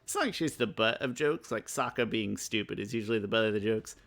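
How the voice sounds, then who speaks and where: A man in his thirties with a fairly low voice. He talks casually as a podcast co-host, musing out loud and dropping in joking asides. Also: lively intonation, quick pace, vocal fry. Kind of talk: casual chat.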